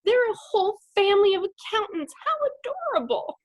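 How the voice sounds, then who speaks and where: A woman with a high voice that swings widely as she narrates comedy. She is mock-sweet and sarcastic, dropping sassy asides into the script. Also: sing-song, normal speed. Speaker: comedy troupe performer, narrator.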